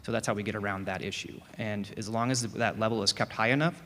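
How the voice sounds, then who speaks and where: Man panelist with a low, monotone voice, steady explanation, echoing hall with a faint hiss. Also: quick pace. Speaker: panel presenter. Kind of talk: answering audience questions. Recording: reverberant hall with faint noise.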